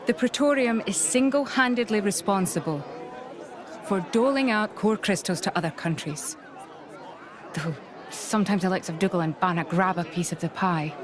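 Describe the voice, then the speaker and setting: A woman's voice: medium pitch, natural voice. Woman playing a cool, authoritative officer. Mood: matter-of-fact. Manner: formal, measured, cool. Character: composed, authoritative female imperial officer, dry and probing. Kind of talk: video game voice acting. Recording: game music and crowd behind.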